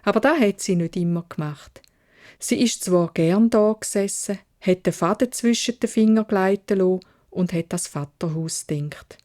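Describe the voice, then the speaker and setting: A woman with a Swiss German accent narrating a children's fairy tale in a measured, expressive way. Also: mid-range voice. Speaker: storyteller.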